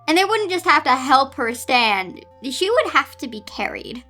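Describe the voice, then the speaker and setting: A woman's voice: high voice, big pitch swings, normal speed, bright, forceful tone, faint music behind. A woman reading fan fiction aloud in a theatrical way, breaking off into incredulous, sarcastic commentary. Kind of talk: dramatic read-aloud with exasperated commentary.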